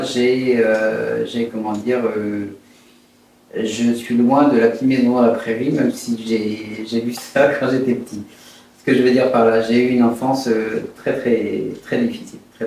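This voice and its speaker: A man in his fifties from Brittany, France, telling his life story in an interview in a hesitant, reflective, halting way. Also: medium pitch, darkish tone. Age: 50s. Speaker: interviewed entrepreneur. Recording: very echoey room, distant mic, faint noise.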